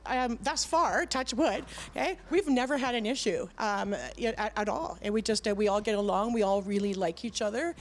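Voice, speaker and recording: A middle-aged woman, a band's singer, chatting as a guest in a TV studio, talking in a lively way with many fillers and restarts. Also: fairly high pitch, moderate pace, hardly any pauses, a little hiss or hum. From Canada. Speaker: band vocalist, guest. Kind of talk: casual chat. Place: TV studio with audience.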